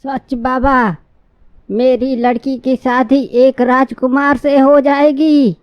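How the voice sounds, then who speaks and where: A woman's voice: sits high, level tone, takes it slowly, no room sound, muffled recording. A woman voicing a worried, trusting old village father in a cartoon, with a plaintive, deferential manner. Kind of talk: cartoon dialogue.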